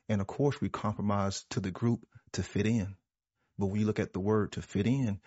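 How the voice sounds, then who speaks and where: Husky low-voiced man, earnest and preachy. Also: darkish tone. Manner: earnest, preachy, repeats phrases for emphasis. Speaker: panel speaker. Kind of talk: reflective spiritual talk. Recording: roomy, dull lo-fi audio.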